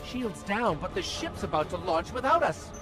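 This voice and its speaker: An actor voicing a small, eager male robot companion in a video game, giving chirpy, quick status reports. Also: sits high, big pitch swings. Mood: alert. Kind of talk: video game cutscene acting. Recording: loud game music behind.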